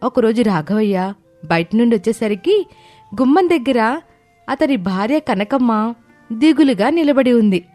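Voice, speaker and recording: A woman's voice: a little high, animated, measured pace, long pauses, warm tone, faint music behind. A woman giving scripted narration of a moral tale as storyteller.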